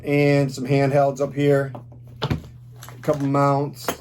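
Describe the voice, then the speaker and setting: A man's voice: mid-range voice, completely flat delivery, slow, noisy background. A man doing an informal product review, talking casually as he demonstrates gear step by step.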